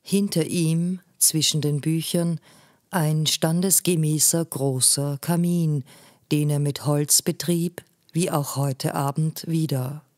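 A woman narrating a literary story in a measured way, phrase by phrase. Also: low-pitched, fairly even intonation, darkish tone, no echo, clean studio sound. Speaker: audiobook narrator.

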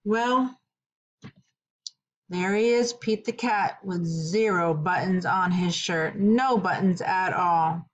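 A middle-aged woman, a kindergarten teacher, reading a story aloud and teaching counting to young children in simple, deliberate phrases with questions. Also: fairly low pitch, muffled recording.

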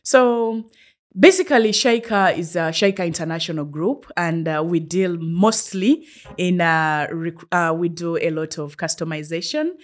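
Chatty Ugandan woman co-hosting, lower voice. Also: unhurried. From Uganda, eastern region. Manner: chatty, addresses viewers directly. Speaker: show co-host. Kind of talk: conversational talk show presenting.

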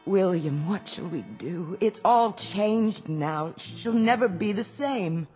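An actress in a radio drama playing a genteel, demanding wife with a languid manner. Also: female voice, medium pitch, animated, takes it slowly, mellow, faint music behind. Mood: anxious.

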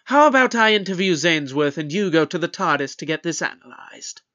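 Brisk, authoritative space detective in an audio drama. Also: medium pitch, expressive, bright, forceful tone, highs cut off. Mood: brisk, probing.

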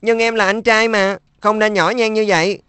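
A woman's voice: mid-range voice, steady tone, moderate pace, a bit bright, clear, resonant tone, no echo, lightly acted. A woman voicing a caring, earnest older brother who coaxes his little brother in a cartoon dub. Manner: earnest, coaxing.

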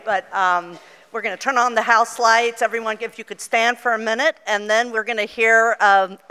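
A woman's voice: mid-range voice, pushed and bright, smooth. A woman hosting a live event from a theater stage, making friendly announcements with a few light fillers. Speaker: event host. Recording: reverberant auditorium.

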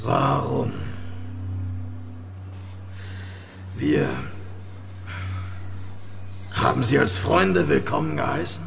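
A man's voice: medium pitch, slow pace, gravelly, noisy background. An actor playing a stern, emotional, accusing alien man in a radio drama. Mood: appalled. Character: accusing, indignant male alien native. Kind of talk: German radio drama acting.

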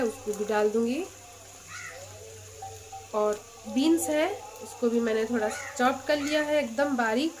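Woman with a high voice walking thru a recipe step by step, chatty and patient, faint kitchen hum and sizzling pan in the back. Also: low-quality recording. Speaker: cooking channel host. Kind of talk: step-by-step cooking instructions. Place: home kitchen by a gas stove.

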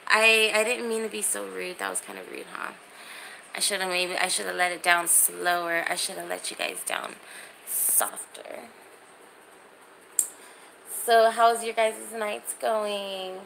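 A woman's voice: mid-range voice, steady tone, takes it slowly, gravelly, pushed and bright, a little hiss or hum, low-quality recording. A woman in her thirties chatting casually and informally as she hosts a livestream from a small room, holding her phone at arm's length. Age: 30s. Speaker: livestream host. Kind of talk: casual livestream chat, reading and answering comments.

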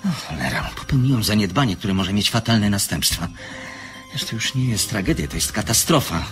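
A man's voice: lowish voice, animated, a little fast, a bit bright, faint music behind. An actor playing a secretive, courteous man in a radio play, with a fine announcer's voice and an earnest, formal, hesitant manner. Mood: distraught, apologetic. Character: secretive man, courteous, evasive, apologetic, guarded about his work.

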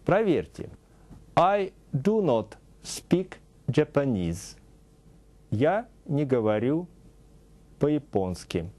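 A middle-aged man teaching a scripted TV language lesson, saying English example phrases slowly and deliberately, in a studio. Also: mid-range voice, very animated, mellow. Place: TV studio with a news-desk set.

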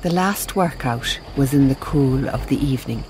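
A woman playing an observant Mother Superior who narrates a radio drama in a measured, literary manner. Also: extremely low pitch, darkish tone, a little hiss or hum. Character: composed, observant Mother Superior telling the story.

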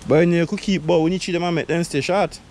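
Relaxed Vincentian man touring his garden. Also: fairly high pitch, quick pace, slight background noise. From Saint Vincent and the Grenadines. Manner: relaxed, chatty, laughs often, creole phrasing. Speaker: vlogger giving a garden tour. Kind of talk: casual chat. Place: outdoor backyard garden.

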